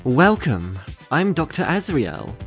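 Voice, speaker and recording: A composed cartoon demon doctor, voiced by a man, speaking slowly and matter-of-factly. His pitch moves in big theatrical swings. Faint music sits behind the voice. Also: very animated, heavily acted. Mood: calm, professional. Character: composed male demon dentist, matter-of-fact. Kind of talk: animated audio comedy skit acting.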